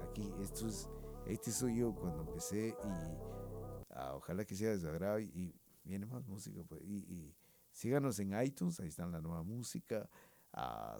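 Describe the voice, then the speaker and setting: A man's voice: low voice, lively intonation, normal speed, music in the background. A man doing a slangy, rambling comic monologue, laughing often. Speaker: comedian and music presenter. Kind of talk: comic monologue with put-on voices.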